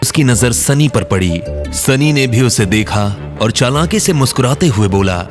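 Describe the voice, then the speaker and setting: A man's voice: mid-range voice, animated, unhurried, over music. A man reading scripted narration as the storyteller of an audio drama.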